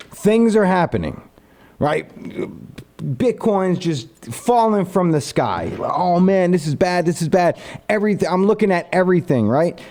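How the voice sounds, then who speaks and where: Young male streamer, animated and rambling, somewhat high, mellow voice. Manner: animated, rambling pitch of an idea to viewers; reads viewer comments aloud and answers them. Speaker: live streamer. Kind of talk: casual live stream chat.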